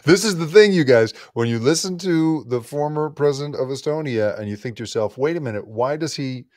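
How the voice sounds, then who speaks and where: A man's voice: on the high side, darkish tone, no room sound, clean studio sound. A male podcast host interviewing a guest, eager and interjecting, often cutting in. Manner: eager, interjecting, quick to apologize.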